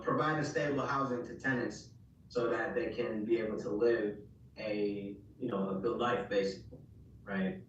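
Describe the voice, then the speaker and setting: A man from Ghana introducing himself as a guest accountant in a steady, polite, businesslike way. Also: medium pitch, very echoey, far from the mic, slight background noise, poor audio. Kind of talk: self-introduction on a web call.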